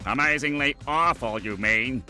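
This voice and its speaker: A man voicing a sour, sarcastic adult male octopus in a cartoon game, nasal and deadpan. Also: mid-range voice, normal speed. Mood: sarcastic, impatient. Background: music.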